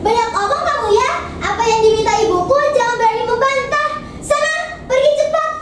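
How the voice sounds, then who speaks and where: A woman's voice: very high voice, fairly quick, heavy reverb, recorded from a distance, noisy background, voice pushed up. A woman voicing a spiteful young stepsister who talks in a curt, snappish way. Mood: snapping, angry.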